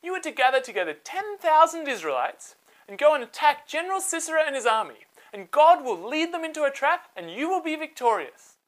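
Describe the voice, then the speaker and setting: Casting: storyteller woman as authoritative female prophet-judge, high voice, animated declamation for a children's Bible story. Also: a little fast. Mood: commanding. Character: commanding ancient woman judge delivering God's orders.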